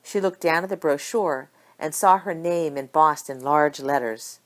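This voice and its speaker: A woman reading a story aloud as an amateur audiobook narrator, with expressive delivery. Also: low-pitched, unhurried. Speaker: audiobook reader of fan fiction.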